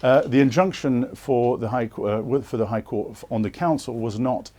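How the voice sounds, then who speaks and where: A man being interviewed on live political TV, earnest and insistent, pausing often with hesitations as he makes his case. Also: medium pitch, mellow. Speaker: interviewee, former political candidate.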